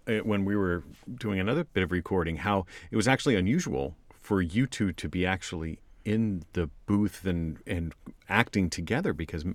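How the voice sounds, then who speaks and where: A man's voice: low-pitched, dry recording. A man co-hosting an interview, talking in a casual conversational style. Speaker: co-host, producer.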